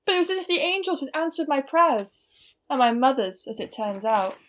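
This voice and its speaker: Overwrought young English girl in mourning, woman actor in a student audio drama; high, lively delivery. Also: fairly quick. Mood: melodramatic. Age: young adult. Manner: melodramatic, overwrought. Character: melodramatic 18-year-old girl in mourning. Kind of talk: student radio play acting.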